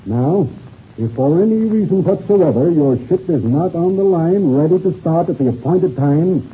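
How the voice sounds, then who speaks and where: An actor playing a formal, firm air-race official who speaks precisely and with authority in a 1930s radio serial. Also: male voice, fairly high pitch, animated, moderate pace, natural voice. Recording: muffled, hissy old radio recording.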